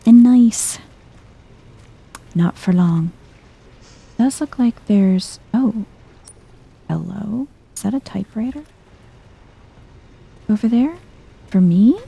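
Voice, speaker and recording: A soft-spoken woman giving hushed ASMR-style game commentary, musing to herself in an unhurried, breathy way and often dropping into a whisper. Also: mid-range voice, animated, rough recording. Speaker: video game streamer, let's player.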